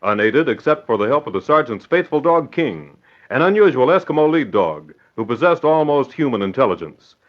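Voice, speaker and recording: A man's voice: mid-range voice, big pitch swings, moderate pace. A man announcing and narrating in a theatrical, emphatic, formal 1940s radio style. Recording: dull old radio broadcast recording.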